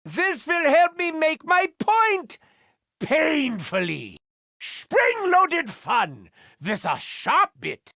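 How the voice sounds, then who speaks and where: A man's voice: very high-pitched, sing-song, very slow, sharp tone, dry recording, clean studio sound, full character voice. An actor playing a manic, unhinged German male doctor in a video game, with a German accent and a theatrical, mock-wounded manner. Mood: gleeful.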